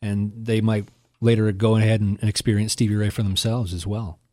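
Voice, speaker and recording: A man's voice: on the low side, fairly quick. A man from Canada co-hosting a music podcast, rambling and restarting as he tells stories. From Alberta, Canada. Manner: rambling, repeats words, animated. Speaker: podcast co-host. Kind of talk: casual music chat.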